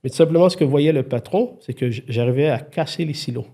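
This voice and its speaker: Homme d'âge mûr, accent québécois, voix chaude et un peu voilée, invité qui raconte avec entrain. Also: male voice, a little high, fast talker. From Quebec. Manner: lively, animated storyteller, frequent restarts. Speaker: podcast guest, IT executive. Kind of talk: casual conversation.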